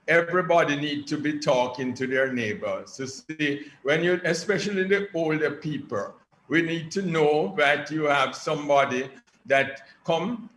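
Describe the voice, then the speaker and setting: A man's voice: on the high side, bright, forceful tone. A man with a Jamaican accent giving public health advice as an expert speaker, recorded in an echoey room. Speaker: doctor, guest expert. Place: press event in a room. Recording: echoey room with faint noise.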